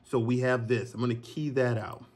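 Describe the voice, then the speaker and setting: A man in his thirties giving a relaxed, chatty software tutorial from his home office, recorded on a phone and talking to viewers as y'all. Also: mid-range voice, moderate pace. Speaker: filmmaker teaching a tutorial. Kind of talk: casual screen-recorded tutorial. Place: green screen studio, then home office.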